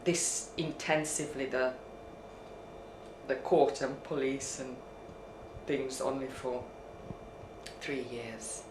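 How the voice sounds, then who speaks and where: A middle-aged woman speaks in a deep register, in an echoey room. She talks candidly and unhurriedly, searching for words and repeating some as she goes. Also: fairly even intonation, slow pace, long pauses. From outside the UK, non-English-speaking country. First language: not English. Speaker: documentary subject, interpreter. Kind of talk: candid reflective conversation. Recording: echoey room with background hiss.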